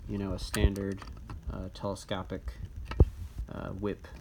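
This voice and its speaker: Low, gravelly man with loud background noise. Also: monotone, measured pace. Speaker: presenter of a radio disassembly video. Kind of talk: casual unscripted hands-on gadget walkthrough. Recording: noisy recording with handling clicks.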